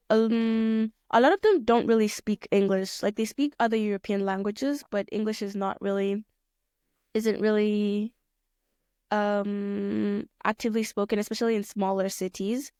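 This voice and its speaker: A young woman from Kenya answering interview questions in a relaxed, thoughtful way, often rephrasing mid-sentence with plenty of fillers. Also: medium pitch, a little slow, smooth, dry recording, clean studio sound. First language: Swahili. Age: young adult. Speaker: guest, pilot student.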